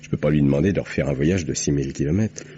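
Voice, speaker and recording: A man's voice: extremely low pitch, fast talker, dark tone. An actor playing a bitter, sardonic condemned man in an old French radio mystery. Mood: resigned. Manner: sardonic, terse. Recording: muffled, hissy old radio recording.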